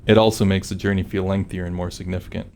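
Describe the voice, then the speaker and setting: Male game developer, scripted commentary read, very low and level in pitch. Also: level tone, fast, darkish tone, a little hiss or hum.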